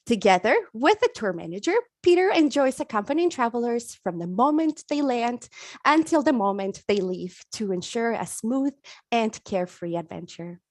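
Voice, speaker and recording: A woman's voice: on the high side, expressive, normal speed, a little mellow, no room sound. A woman hosting a webinar, reading polished scripted announcements. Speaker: webinar host. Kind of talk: read announcement.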